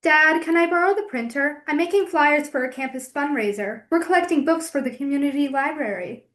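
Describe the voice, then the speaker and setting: A woman's voice: high voice, expressive, a little fast, a bit bright. A woman playing a cheeky college-age eldest daughter in an audio play.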